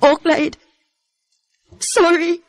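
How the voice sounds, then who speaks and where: A very high-pitched woman's voice with a Cebuano Filipino accent. She is a married woman racked by guilt over two loves. The delivery is emotional and melodramatic, in the manner of Filipino radio drama. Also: little pitch movement, very slow, no room sound, exaggerated voice. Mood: sorrowful, apologetic.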